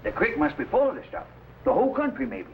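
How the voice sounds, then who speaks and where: An actor playing an excitable, secretive frontier sawmill man in an old adventure drama, eager and conspiratorial. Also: extremely high pitch, quick pace, creaky, recorded from a distance, heavily acted. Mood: excited. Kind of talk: vintage adventure drama acting. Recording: dull, noisy vintage drama soundtrack.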